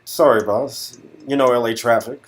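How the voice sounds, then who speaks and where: A man's voice: medium pitch, steady tone, moderate pace, natural voice. A man playing a deferential, eager junior talent agent in a comic dialogue reading, polite and hesitant. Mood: meek, earnest.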